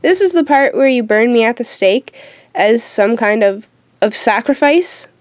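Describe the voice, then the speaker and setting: A woman's voice: fairly high pitch, lively intonation, measured pace, no room sound. A woman playing a frightened teenage witch who tells her own story in a dramatic, youthful way for an audio drama. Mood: dawning dread. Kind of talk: first-person narration in an audio drama.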